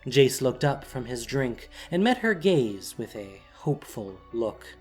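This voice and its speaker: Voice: medium pitch, big pitch swings, unhurried, a little mellow, faint music behind. A narrator reading a scripted, dramatic story for an audio drama.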